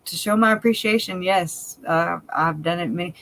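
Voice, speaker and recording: A woman's voice: fairly low pitch, normal speed, fairly bright tone. A woman with a country-sounding Cajun accent, co-hosting a casual live chat, talking candidly and laughing often. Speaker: livestream co-host.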